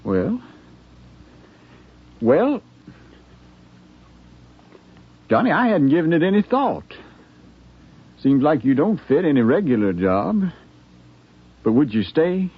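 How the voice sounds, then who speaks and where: An actor playing a friendly, plain-spoken frontier townsman in a 1950s radio drama, folksy and gently persuasive. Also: on the high side, very animated, unhurried, long pauses, husky, exaggerated voice. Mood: pleading. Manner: folksy, hesitant, coaxing. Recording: thin, phone-like 1950s radio broadcast.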